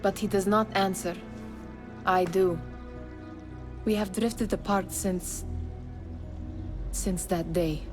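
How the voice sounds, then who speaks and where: A woman's voice: mid-range voice, steady tone, takes it slowly, long pauses, natural voice. Woman acting an insistent, taunting figure over music. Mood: probing. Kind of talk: dramatic video game acting.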